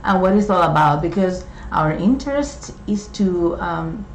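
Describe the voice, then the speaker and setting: A middle-aged woman from Puerto Rico, with a Puerto Rican accent and Spanish as her first language, talking in a slow, halting way with many fillers. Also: on the low side, expressive, a little hiss or hum. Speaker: co-host. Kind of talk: casual chat. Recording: dull, echoey room recording.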